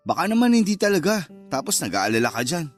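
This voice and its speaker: An actor playing a cocky, flirtatious secret lover in a Tagalog radio drama, casual and coaxing. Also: male voice, fairly high pitch, very animated, fast, soft background music. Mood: probing, unworried.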